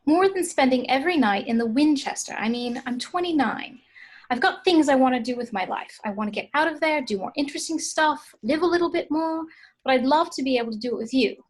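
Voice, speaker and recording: Girlfriend, late twenties: high voice, earnest, exasperated, pleading for more out of life woman reader, informal table read. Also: expressive, brisk. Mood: earnest exasperation. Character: exasperated, affectionate 29-year-old girlfriend, wanting more from life.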